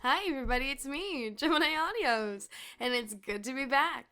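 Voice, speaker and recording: A woman with a high voice hosts her audio channel, talking directly to viewers in a sign-off. Music plays clearly behind her. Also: lively intonation, moderate pace, sharp tone. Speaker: audio roleplay creator, channel host. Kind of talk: channel outro, addressing viewers.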